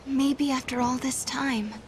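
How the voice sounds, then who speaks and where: A woman's voice: high voice, steady tone, unhurried, slight background noise. A young woman playing an earnest, determined heroine in a video game. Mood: wistful, reflective. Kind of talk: video game voice acting, narration and dialogue. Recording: orchestral game music behind the voice. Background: loud music.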